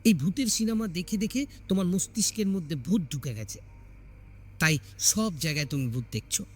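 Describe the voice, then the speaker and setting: A man's voice: sits high, animated, moderate pace, husky, soft background music. A man voicing a protective, skeptical young husband in an audio story, speaking emphatically. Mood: agitated scolding. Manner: emphatic, questioning.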